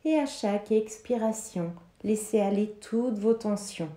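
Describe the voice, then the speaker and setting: A woman guiding a yoga nidra relaxation in a soothing, measured way, with pauses between short phrases. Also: mid-range voice, warm tone. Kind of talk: guided relaxation meditation, instructing listeners. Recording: roomy, reverberant sound.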